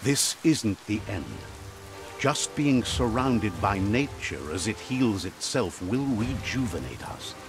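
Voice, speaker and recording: An actor playing a wise, philosophical storyteller narrating a fantasy game in a solemn, measured way. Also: male voice, on the low side, expressive. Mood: solemn, reflective. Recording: music behind the voice.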